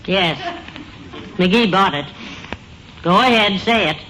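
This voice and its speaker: An actress playing a wry, long-suffering middle-aged wife in old radio comedy, with dry, teasing asides. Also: female voice, mid-range voice, animated, slow pace, bright, forceful tone. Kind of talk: 1940s-50s radio comedy acting. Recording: noisy old radio broadcast recording.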